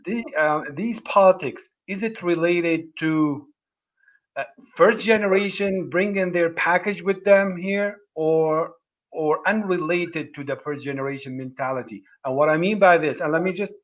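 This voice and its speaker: A man hosting a livestream talk, his voice sitting a little high for a man. He speaks in halting bursts, restarting phrases as he hunts for the right words. The stream audio is dull and lo-fi. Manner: halting, many restarts while searching for words. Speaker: host. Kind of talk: livestream conversation.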